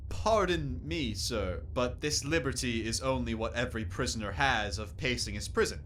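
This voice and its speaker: A captive gentleman scholar, acted by a man in a radio drama. His mid-pitched voice is formal and courteous yet insistent, recorded with faint background noise. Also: normal speed, close to an everyday voice. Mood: indignant. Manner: formal, polite but insistent. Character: principled, protesting gentleman professor held captive. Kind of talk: radio play acting.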